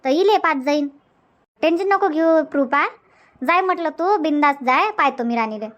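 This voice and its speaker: Woman voicing a high-pitched folksy village auntie. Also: animated, moderate pace, lo-fi sound. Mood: reassuring. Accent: Varhadi Marathi from Vidarbha. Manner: folksy, inquisitive, reassuring. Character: nosy, reassuring village auntie, a grandmother. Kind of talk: cartoon comedy dubbing.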